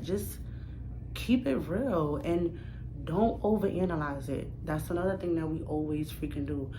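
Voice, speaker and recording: A young woman with a low voice and a New York accent talks straight to her camera in a casual vlog. She is emphatic and direct, with plenty of slang, and she keeps circling back to the same points. Steady background noise sits under her voice. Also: vocal fry. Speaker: new YouTuber.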